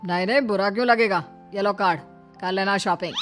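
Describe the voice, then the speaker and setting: A woman's voice: medium pitch, brisk, soft background music, natural voice. A woman voicing a young husband in a cartoon family story, easily swayed, grudging and casual. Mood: agreeable.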